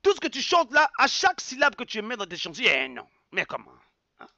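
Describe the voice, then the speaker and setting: Fired-up man ranting, lively pitch. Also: fast talker, gravelly, no room sound. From Republic of the Congo. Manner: angry, emphatic, repeats phrases, drops Lingala words. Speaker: online commentator. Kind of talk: heated evening rant to camera.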